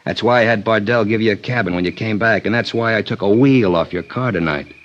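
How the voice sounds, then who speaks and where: Suspicious, jumpy male gunman man acting, low threatening delivery, old-time radio crime show, muffled sound with some noise. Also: fairly quick, natural voice. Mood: suspicious, sneering. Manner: threatening tough-guy talk, clipped slangy speech. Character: menacing, suspicious male gunman, jumpy hard-boiled crook. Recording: dull, noisy old radio recording.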